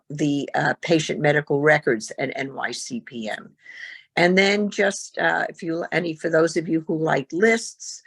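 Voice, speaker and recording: A woman faculty member presenting at an online information session over a video call, speaking in a halting way with frequent filler sounds and restarts. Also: low voice, creaky.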